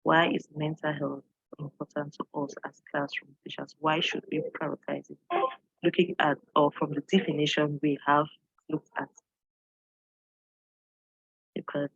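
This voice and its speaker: A woman's voice: deep voice, little pitch movement, moderate pace, gravelly, slightly rough, no echo, lo-fi sound. A woman from Cameroon, an English teacher, giving an earnest online presentation and posing questions to her audience, with frequent fillers.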